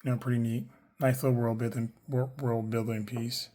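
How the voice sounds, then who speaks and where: A man with a hoarse, worn voice, chatting casually through a toy unboxing, rambling with lots of fillers and self-corrections. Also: mid-range voice, flat delivery, moderate pace, warm tone. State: hoarse, losing his voice. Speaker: toy collector reviewing action figures.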